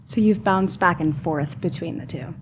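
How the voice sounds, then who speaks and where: A woman interviewer asking short questions softly from off-mic. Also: fairly low pitch, moderate pace, a little hiss or hum, low-quality recording.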